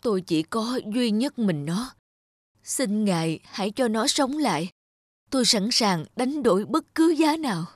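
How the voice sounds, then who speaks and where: A woman voicing a gentle, self-sacrificing ageing mother, tender and humble, in an animated fairy tale. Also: fairly high pitch, measured pace, no room sound, studio quality. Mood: humble pleading.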